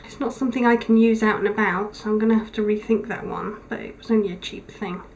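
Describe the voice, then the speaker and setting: A tired woman recording a casual video diary, her voice thin and weak, talking haltingly with many pauses. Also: medium pitch, fairly even intonation, darkish tone, slight background noise. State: tired, low on energy. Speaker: vlogger. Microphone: camera mic.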